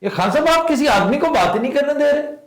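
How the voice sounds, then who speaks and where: A man's voice: very high voice, lively intonation, fast talker, roomy sound. A man hosting a comedy talk show, prodding his guests with playful questions. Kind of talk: comic talk show banter.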